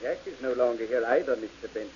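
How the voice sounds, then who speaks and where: An actor playing a politely formal butler in an old radio drama. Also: male voice, mid-range voice, fast talker, darkish tone, lightly acted. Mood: cool. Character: cool, formal male butler. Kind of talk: 1940s radio acting. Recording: dull old radio broadcast with hiss.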